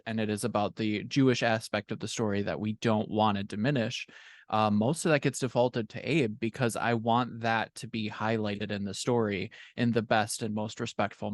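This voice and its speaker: A man's voice: on the low side, no room sound. A man talking conversationally as a guest in a podcast interview, explaining things in an informal, thoughtful way. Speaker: podcast guest, fiction co-author.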